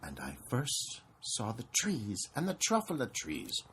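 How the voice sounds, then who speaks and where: An adult reading a children's storybook aloud in an expressive, dramatic way, stretching out words for effect. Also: mid-range voice, unhurried, slight background noise, rough recording.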